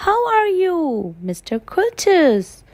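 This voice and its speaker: A woman voicing a kindly, caring father seahorse in a children's storybook, with a gentle, cheerful, encouraging manner. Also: very high-pitched, big pitch swings, slow, dry recording, pitched above the performer's own voice, exaggerated voice.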